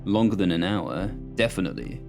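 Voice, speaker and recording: A man voicing a shaken, weary male detective with a subdued, husky delivery, in an audiobook. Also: deep voice, big pitch swings, a little fast, darkish tone, faint music behind, full character voice.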